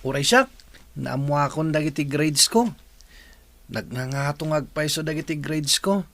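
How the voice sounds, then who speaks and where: Man playing a creaky-voiced hopeful student. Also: a little high, moderate pace, a bit bright. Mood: earnest, hopeful. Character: diligent, hopeful young male student. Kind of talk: dramatic audio story acting.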